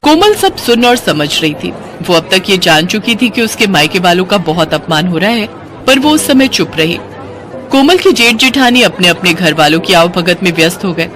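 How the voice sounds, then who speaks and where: A woman's voice: on the low side, big pitch swings, normal speed, fairly bright tone, a faint crowd, a little hiss or hum. A woman reading scripted narration for a Hindi moral story.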